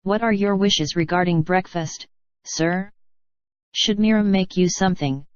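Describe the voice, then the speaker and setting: A woman's voice: on the low side, a little slow, no room sound, close to an everyday voice. A synthetic female narrator voicing a meek, deferential servant woman in an audiobook, with stilted delivery. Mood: compliant, deferential. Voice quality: synthetic text-to-speech voice. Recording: dull, lo-fi audio.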